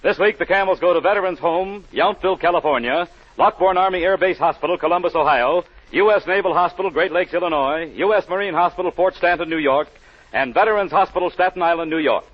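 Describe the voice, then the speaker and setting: A male 1940s radio announcer reading scripted sponsor copy. His voice sits fairly high with a husky grain. The old broadcast recording is dull, with faint music behind. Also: quick pace.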